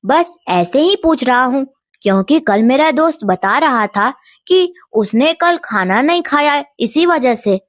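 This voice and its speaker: A woman's voice: high-pitched, expressive, a little slow, no room sound, studio quality. A woman voicing an innocent, earnest young village boy in a storybook tale. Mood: curious.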